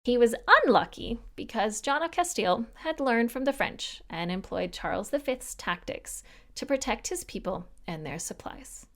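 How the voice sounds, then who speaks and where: A woman's voice: fairly high pitch, animated, normal speed. A woman hosting a podcast, reading a scripted history narration with wry, joking asides to the listener. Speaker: podcast host.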